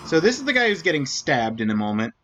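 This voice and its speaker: A man's voice: on the high side, very animated, fast talker, a bit bright. A man casually commentating a game stream, thinking aloud as he reasons through clues.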